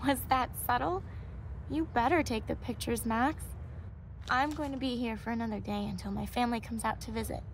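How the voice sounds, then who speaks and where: A woman acting a gentle, devout young student in a video game, fragile but hopeful, earnest and sincere. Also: a little high, steady tone, fairly quick, slight background noise, natural voice, rough recording. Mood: earnest, reflective. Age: young adult. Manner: soft-hearted, earnest, sincere.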